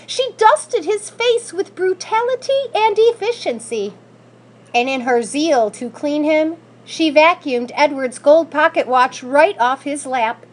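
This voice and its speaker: Woman, storybook narration, high voice, very animated intonation, read-aloud. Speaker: school staff member reading aloud.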